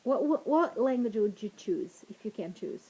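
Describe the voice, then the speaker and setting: A woman interviewing a teenager, speaking haltingly and repeating words, with non-native phrasing. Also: fairly high pitch, lively intonation, dark tone, husky, poor audio. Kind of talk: casual interview questions.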